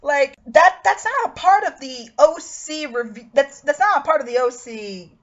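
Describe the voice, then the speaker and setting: A woman's voice: sits high, expressive, normal speed, lo-fi sound. A woman reading and commenting on a review in an emphatic, opinionated way. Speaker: host reading a character review aloud, then commenting.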